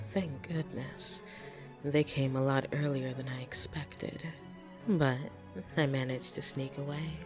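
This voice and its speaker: Female vampire, played by a woman, ASMR roleplay. Very low, unhurried, fond and possessive, soft teasing whispers. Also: steady tone. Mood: relaxed, confiding. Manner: soft, breathy, teasing, often dropping into whispers. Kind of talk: intimate ASMR roleplay, scripted monologue to listener. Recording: music behind the voice.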